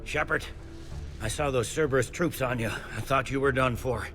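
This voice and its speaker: Gruff cop commander for an action game, male actor: forceful, blunt, sardonic, faint music behind. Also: mid-range voice, moderate pace, natural voice. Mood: gruff, urgent. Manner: blunt, wry. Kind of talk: video game voice acting.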